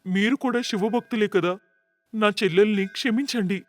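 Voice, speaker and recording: Earnest high-voiced elder brother played by a man. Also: normal speed, lightly acted. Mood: pleading. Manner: earnest, pleading. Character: devoted, anxious elder brother, protective and pleading. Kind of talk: moral-story cartoon dubbing.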